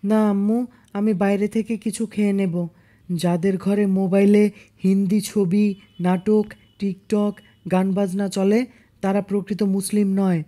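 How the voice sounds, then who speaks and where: A woman's voice: medium pitch, level tone, measured pace, warm tone, natural voice. A woman voicing an earnest, devout young boy in a cartoon, exhorting others in a preachy way. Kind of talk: cartoon dialogue.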